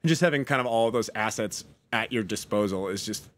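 A man's voice: on the low side, fairly quick. A young man on a video call talking casually about touring, with lots of fillers. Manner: casual, rambling with many fillers. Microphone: studio mic on stand.

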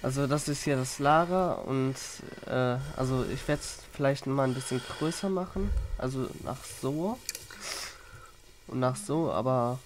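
A man's voice: mid-range voice, a little slow, slight background noise. A man with a cold and a runny nose, chatting plainly as he explains his gameplay. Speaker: Minecraft YouTuber. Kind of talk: casual gameplay commentary.

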